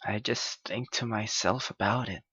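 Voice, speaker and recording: A man playing a clingy, heartbroken young yandere lover in an intimate ASMR roleplay, halting and pleading in his anguish. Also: fairly low pitch, steady tone, sharp tone, slightly rough, dry recording, highs cut off. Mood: subdued self-loathing.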